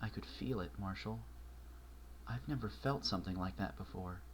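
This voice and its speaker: A man reading a story aloud, voicing an anxious, bookish young pony mare who talks earnestly and often in a hushed voice. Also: fairly low pitch, moderate pace, slightly rough, poor audio. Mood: uneasy.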